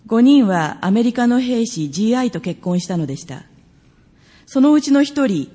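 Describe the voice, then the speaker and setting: A speaker reads a translated play introduction aloud in an even, scripted recitation. The delivery moves along briskly. The tone is warm and mellow. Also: medium pitch. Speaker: translator reading a Japanese version. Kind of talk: scripted reading.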